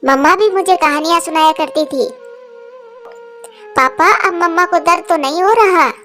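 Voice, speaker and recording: A woman plays an innocent little girl bird in a Hindi cartoon story. The voice is very high, earnest and plaintive, the sound of a child who misses her mother. Faint music plays behind it. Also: normal speed, bright, forceful tone. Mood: wistful.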